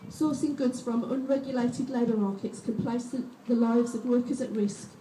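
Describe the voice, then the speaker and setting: A woman's voice: a little high, moderate pace, roomy sound, recorded from a distance, slight background noise, low-quality recording. A woman reading a prepared speech at a rally and introducing the next speaker. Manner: steady, reading from notes. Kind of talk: read-out speech.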